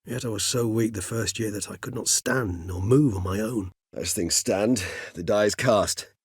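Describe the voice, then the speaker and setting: A man's voice: fairly low pitch, husky, no echo, studio quality. An actor voicing a cultured older male vampire in a video game, speaking formally and precisely. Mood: composed, reflective. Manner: erudite, formal, measured, wordy phrasing. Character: cultured, mild-mannered older male vampire, learned and courteous. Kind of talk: theatrical video game dialogue.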